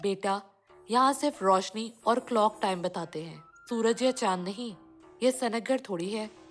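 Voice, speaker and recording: A woman's voice: medium pitch, moderate pace, faint music behind, lightly acted. A woman voicing a breezy city aunt in a cartoon, explaining things to a child matter-of-factly.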